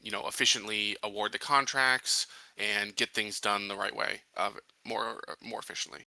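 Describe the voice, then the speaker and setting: A man playing a tabletop roleplaying game, negotiating conversationally and haltingly as he puts his case. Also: on the low side, pushed and bright, no echo, poor audio.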